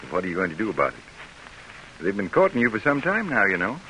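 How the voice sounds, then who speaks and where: Genial practical family man, old radio drama, deep-ish, faint noisy recording. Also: huge pitch swings, quick pace, bright, forceful tone, heavily acted. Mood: probing, avuncular. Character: genial, practical brother-in-law, a grown gentleman host. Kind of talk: 1940s radio acting.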